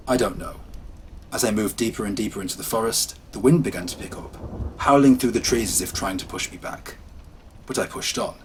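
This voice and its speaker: A low-pitched man reads first-person horror tales aloud. His telling swings from hushed and ominous to brisk and lively. A faint patter of rain sits behind him. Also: slight background noise, poor audio. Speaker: storyteller. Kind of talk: scripted horror narration.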